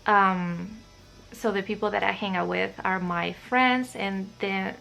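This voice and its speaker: A woman's voice: mid-range voice, fairly even intonation, unhurried, creaky, pushed and bright, soft background music. A young woman from Spain with a Spanish accent, chatting shyly and hesitantly to camera, with many fillers. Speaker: vlogger. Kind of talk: casual chat to camera.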